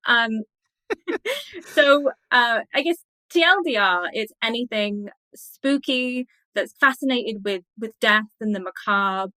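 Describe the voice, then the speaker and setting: A woman's voice: a little high, sharp tone, no room sound, low-quality recording. A woman speaking as an academic interview guest, explaining things in a chatty, colloquial way with loose lists. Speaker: academic guest, literature researcher. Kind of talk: informal explanatory interview talk.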